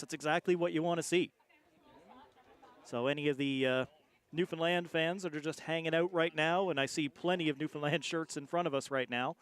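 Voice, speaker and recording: Male colour commentator, slightly high voice, chatty analysis of live rugby outdoors. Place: outdoors at a sports field.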